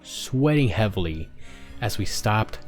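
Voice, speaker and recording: A man's voice: lowish voice, very animated, slow, soft background music. A man narrating a story as he reads fan fiction aloud, sometimes stumbling and rereading a line.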